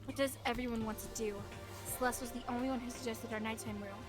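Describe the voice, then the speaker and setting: A woman's voice: fairly high pitch, fairly even intonation, fast, over music. A woman streaming a game, mixing casual commentary with reading character dialogue aloud.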